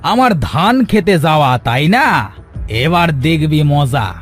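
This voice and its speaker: A man's voice: on the high side, big pitch swings, slow, soft background music. A man voicing a village farmer in a cartoon. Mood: menacing. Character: village farmer and father, gruff, threatening or consoling. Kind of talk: cartoon voice acting.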